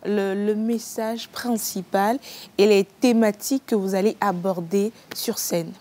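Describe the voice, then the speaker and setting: A woman's voice: medium pitch, steady tone, a little slow, warm tone. A woman from Togo hosting a TV talk show, asking questions hesitantly with many fillers. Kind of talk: TV studio interview.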